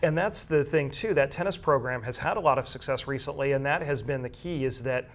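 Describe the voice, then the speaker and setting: A man discussing high school sports in a conversational way, explaining at length with measured, slightly halting phrasing.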